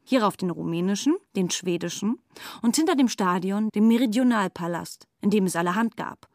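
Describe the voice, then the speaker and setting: A woman's voice: mid-range voice, animated, brisk, dry recording, studio quality. A woman narrating a literary radio drama.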